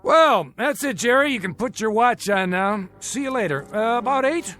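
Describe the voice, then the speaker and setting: An actor in a radio drama playing a calm, careful married father who is secretly plotting, with a guarded manner. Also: extremely high pitch, moderate pace, soft background music. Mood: breezy, casual.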